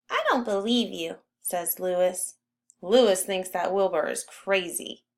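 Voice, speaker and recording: A woman reads a children's storybook aloud in a narrating style. Her pitch sits in the middle and she stresses key words expressively, with a fairly gravelly creak in the voice. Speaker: storybook reader.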